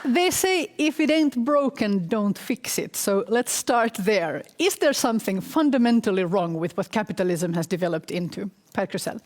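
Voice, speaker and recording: A woman's voice: mid-range voice, animated, normal speed, hardly any pauses, a little mellow. A woman moderating a panel discussion, introducing questions in a poised, articulate way.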